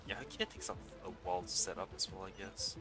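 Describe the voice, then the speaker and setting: A man's voice: low-pitched, quick pace. A man giving casual live let's-play commentary, muttering and thinking aloud as he plays. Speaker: game streamer. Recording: loud game music underneath. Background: loud game music.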